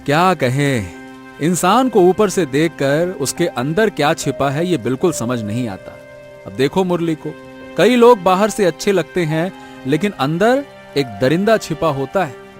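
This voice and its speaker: A man plays an earnest, sentimental village father in a cartoon story. The voice sits fairly high for a man and moves widely in pitch. Soft music runs underneath. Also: sing-song, unhurried. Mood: concerned. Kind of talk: animated moral story acting.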